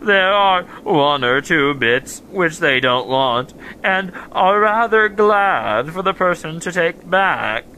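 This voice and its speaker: A man's voice: on the high side, lively intonation, bright, forceful tone, slight background noise, exaggerated voice, low-quality recording. A man playing a gloomy, self-pitying donkey in an audio drama, with mournful, understated complaints. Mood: glum, resigned. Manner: slow, mournful, understated, long-suffering complaints.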